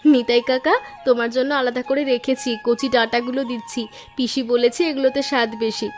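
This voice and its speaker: A woman playing a sweet, sentimental young village niece in an animated story, earnest and girlish. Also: fairly high pitch, fairly quick, faint music behind, natural voice. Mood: eager, helpful.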